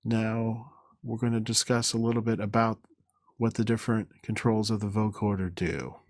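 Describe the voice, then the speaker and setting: Methodical man narrating a dry-sounding software demo. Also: on the low side, level tone, dry recording. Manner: halting, methodical, step-by-step explaining. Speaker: demo presenter.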